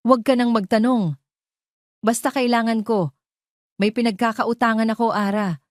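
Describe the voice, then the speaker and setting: A woman's voice: on the high side, steady tone, moderate pace, dry recording, pitched above the performer's own voice, close to an everyday voice. A desperate older aunt from a melodramatic audio story, acted out by a female narrator. She is urgent and commanding, pleading for money in a raised, pressing voice. The sound is close and dry. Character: frightened, demanding older aunt in debt.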